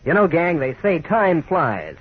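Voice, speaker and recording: Lively high-pitched American radio pitchman. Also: unhurried, breathy edge. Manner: enthusiastic, folksy. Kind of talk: 1940s radio commercial. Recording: tinny, narrow 1940s radio recording.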